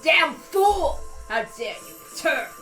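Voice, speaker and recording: A woman voicing a domineering, furious old matriarch, shouting intimidatingly in a dramatic visual novel reading. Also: high voice, big pitch swings, takes it slowly, echoey room, faint music behind, voice pushed up, full character voice. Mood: enraged. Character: furious, domineering old hag, a family leader.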